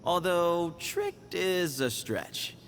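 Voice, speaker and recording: A man's voice: sits high, wildly swooping pitch, very slow, fairly bright tone, exaggerated voice. An actor voicing a sly, playful young desert man in a video game, breezy, teasing and evasive.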